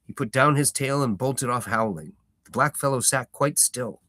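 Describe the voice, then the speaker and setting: A man voicing a proud, stubborn old hobbit farmer who tells his tale with slow relish and rustic grammar. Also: medium pitch, pushed and bright, no echo, voice pushed up, lightly acted. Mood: indignant. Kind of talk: reading a novel aloud.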